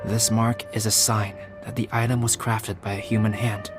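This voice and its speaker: Man voicing a soft-spoken young alchemist. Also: on the low side, flat delivery, close to an everyday voice. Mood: explaining. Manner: soft-spoken, measured, explanatory. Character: calm young male alchemist, gentle and scholarly. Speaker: game character. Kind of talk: video game voice acting. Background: game music.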